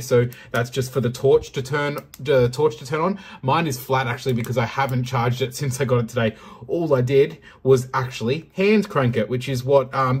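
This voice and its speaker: A man giving a casual, loosely worded product review in a slightly husky voice. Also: mid-range voice.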